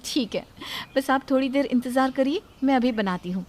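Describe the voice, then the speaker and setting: A plaintive young daughter-in-law, voiced by a woman narrator in a moral story. Her voice is very high and insistent, always justifying herself and shifting the blame. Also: normal speed, darkish tone, natural voice. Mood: polite. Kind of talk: acted dialogue in a Hindi moral story.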